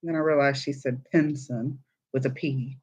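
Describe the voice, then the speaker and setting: A man's voice: medium pitch, fairly even intonation, normal speed, a little mellow, husky. A man from the South, casually chatting with viewers and reading their comments aloud while hosting a crochet live stream. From born in Texas, raised in Alabama. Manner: chatty and easygoing, laughs easily. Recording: slightly muffled, dull stream audio.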